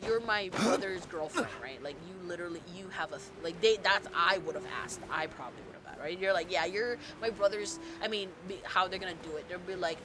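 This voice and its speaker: A woman streamer rambling excitedly about a game story while she plays, full of restarts and fillers. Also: on the low side, fairly quick, hardly any pauses, crowd noise. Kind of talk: rambling gameplay commentary. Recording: loud game music behind the voice.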